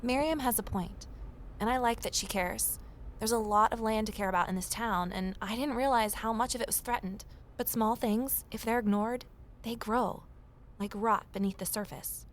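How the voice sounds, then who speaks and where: A woman's voice: on the high side, moderate pace, a little hiss or hum, natural voice. An actress playing a determined, inquisitive investigator who tells her story in an earnest, confiding way. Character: Evelyn, determined, inquisitive young woman investigator and narrator. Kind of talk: first-person scripted drama narration and dialogue.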